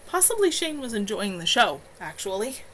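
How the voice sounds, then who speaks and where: A woman's voice: medium pitch, sing-song, moderate pace, a bit bright, a little hiss or hum. A woman reading her own novel aloud live from home, in a plain, unpolished reading manner. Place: home room.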